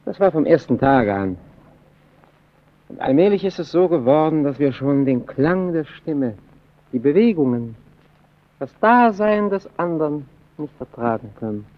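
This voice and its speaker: An actor playing a lonely, wry, quietly defiant man in a German radio drama, on a muffled old recording. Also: fairly high pitch, lively intonation, a little slow. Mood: tender, confiding.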